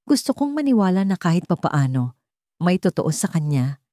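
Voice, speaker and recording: A woman's voice: on the low side, big pitch swings, normal speed, warm tone, no echo, clean studio sound. A woman narrating a dramatic first-person romance story.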